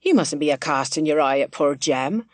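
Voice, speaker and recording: Woman as a firm, low-voiced village landlord. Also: animated, normal speed, no echo. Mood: reasoning. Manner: conciliatory yet firm, rustic phrasing. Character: blunt, steady male village innkeeper taking charge.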